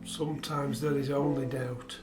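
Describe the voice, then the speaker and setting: An actor playing a sombre radio play narrator, telling the tale in a measured, emphatic way. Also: male voice, medium pitch, little pitch movement, close to an everyday voice. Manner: measured, emphatic, repeating phrases. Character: sombre, measured male narrator recounting a marriage's tragedy. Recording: roomy echo with guitar behind. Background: soft plucked guitar music.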